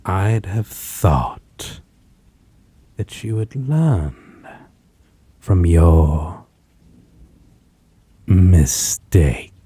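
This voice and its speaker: Vampire lead, male actor: low, husky and very slow, with theatrical swings, possessive and condescending, for audio roleplay. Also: expressive, dark tone, exaggerated voice. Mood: condescending, taunting. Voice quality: husky, breathy. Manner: slow, theatrical, archaic wording, condescending endearments. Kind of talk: dramatic audio roleplay acting addressed to listener.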